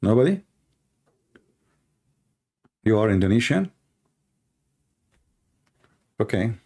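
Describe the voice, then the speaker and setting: Gravelly, husky man with an Arabic accent. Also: lowish voice, sing-song, mellow. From Middle East. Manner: sarcastic, mocking; halting, non-native phrasing. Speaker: host, religious apologist. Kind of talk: live call-in broadcast, rambling informal commentary.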